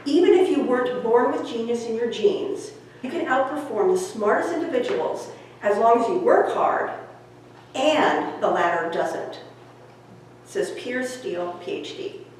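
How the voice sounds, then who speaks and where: In a very reverberant room, a woman reads nonfiction from a little way off the mic. Her voice is fairly high and she phrases each point deliberately, like an author explaining her own book. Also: expressive, darkish tone. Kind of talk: scripted audiobook-style reading of nonfiction. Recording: very echoey room, distant mic, background noise.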